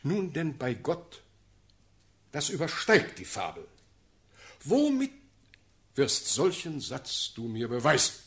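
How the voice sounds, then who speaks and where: An actor playing an imperious, quick-tempered German ruler in a classical verse radio play, with declamatory, theatrical speech. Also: male voice, fairly high pitch, very animated, exaggerated voice. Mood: indignant. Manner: declamatory, theatrical, sharp swings in force. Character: imperious, quick-tempered German prince-elector, commanding and sardonic.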